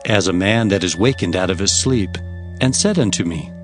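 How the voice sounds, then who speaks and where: A man playing an earnest prophet who recounts his visions in formal, expressive storytelling for dramatized scripture. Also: low-pitched, normal speed. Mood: awed, questioning. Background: faint music.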